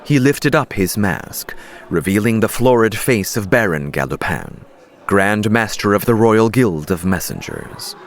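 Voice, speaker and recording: Lowish, expressive male audiobook narrator. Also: unhurried. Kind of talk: scripted story narration.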